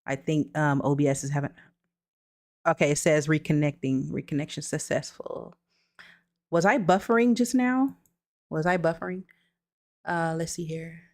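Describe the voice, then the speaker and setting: Woman, fairly low voice, casual livestream host, chatty, reassuring, reads chat aloud, computer mic. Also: normal speed, pauses often. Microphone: streaming setup with computer mic.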